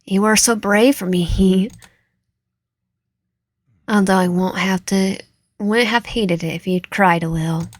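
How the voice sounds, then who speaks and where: A woman's voice: medium pitch, fairly even intonation, slow, long pauses, dry recording. A woman voicing a doting, possessive man in a romance story, cooing and condescending. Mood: teasing, tender. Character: doting, possessive man, tender and creepily condescending captor-husband.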